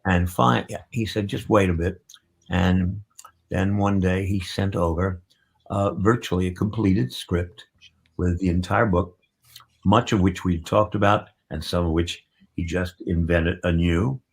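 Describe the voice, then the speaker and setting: Deep, husky, gravelly man reminiscing. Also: fairly even intonation. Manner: halting, reflective. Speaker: interviewee, theatre writer. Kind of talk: reminiscing in an interview.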